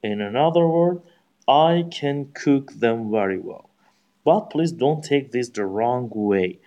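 A man from Iran with an Iranian accent, speaking English as a second language and giving a prepared speech in formal, deliberate phrasing. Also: medium pitch, lively intonation, mellow, dull audio. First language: Persian. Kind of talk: practice speech, memorized.

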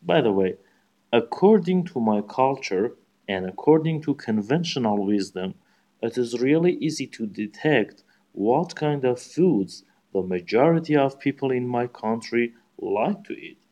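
A man from Iran with an Iranian accent, speaking English as a second language and giving a prepared speech in formal, deliberate phrasing. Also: medium pitch, animated, warm tone. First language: Persian. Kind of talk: practice speech, memorized.

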